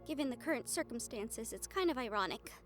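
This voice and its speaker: A woman playing a guarded, protective young fiancée in an intimate audio roleplay drama, speaking earnestly and emphatically with deliberate pauses. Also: a little high, lively intonation, fairly bright tone, soft background music. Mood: regretful.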